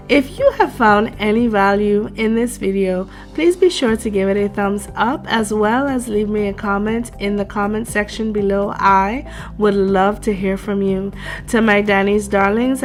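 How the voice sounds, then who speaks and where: Woman craft host, unhurried and gracious, a bit stuffed up from a cold, soft music under her. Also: medium pitch. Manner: slow, measured and gracious, addresses viewers as darlings. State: congested with a cold. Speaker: DIY craft channel host. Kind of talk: tutorial narration. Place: home craft table.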